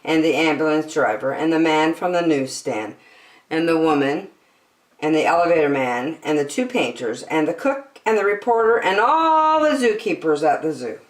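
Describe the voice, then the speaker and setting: A woman reads a picture book aloud with dramatic emphasis. Her voice sits a little low but swings widely in pitch. The room is noticeably echoey. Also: expressive. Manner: dramatic, with emphatic slowed phrases. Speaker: children's story reader.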